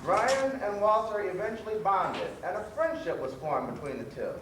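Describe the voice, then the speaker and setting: A man's voice: high-pitched, very animated, moderate pace, noisy background. A man narrating a scripted children's story as a stage storyteller. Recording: very echoey stage, distant mic.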